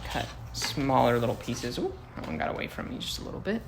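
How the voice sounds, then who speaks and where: American male primary teacher, casual and playful demo for young children, clearly noisy home recording. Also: medium pitch, big pitch swings, a little fast. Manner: playful, talks to young viewers as he works. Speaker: first-grade teacher. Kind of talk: casual craft demonstration for children.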